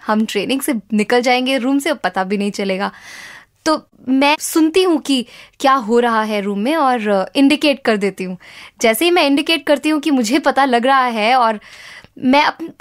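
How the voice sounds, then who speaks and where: A woman from India who works as a trainer, explaining her experience in an interview. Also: sits high. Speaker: interviewee, corporate behavioural trainer.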